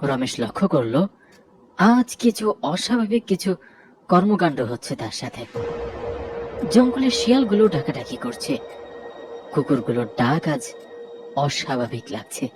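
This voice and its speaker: A man's voice: on the high side, big pitch swings, measured pace, long pauses, a little mellow. A man narrating a scripted horror story as its storyteller. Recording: prominent music bed under voice.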